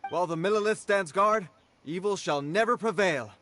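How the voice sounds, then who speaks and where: An actor playing a dutiful city guard soldier who speaks formally and earnestly, with game music behind him. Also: male voice, high-pitched, noisy background, close to an everyday voice. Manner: formal, measured and proper. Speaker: game character.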